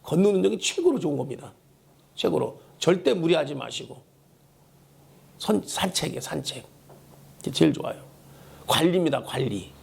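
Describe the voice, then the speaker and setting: A man preaching a church sermon in a hoarse, worn voice, emphatically exhorting and repeating key phrases. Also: on the high side, big pitch swings, normal speed, long pauses, a little mellow. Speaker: pastor preaching a Sunday sermon. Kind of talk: Korean church sermon with call-and-response prompts. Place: church service.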